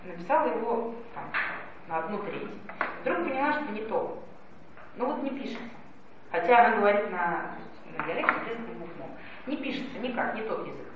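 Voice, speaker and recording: A woman's voice: medium pitch, expressive, brisk, crowd noise. A woman giving a spoken lecture, explaining things and addressing her listeners directly. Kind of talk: spoken lecture on language policy. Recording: echoey distant lo-fi hall recording with noise.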